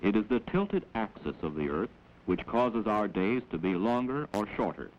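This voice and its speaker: Male narrator, American, for a 1940s classroom film: lowish voice, explanatory delivery, dull vintage soundtrack with faint noise. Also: big pitch swings. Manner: measured, explanatory, instructive. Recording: dull, noisy old 16mm film soundtrack.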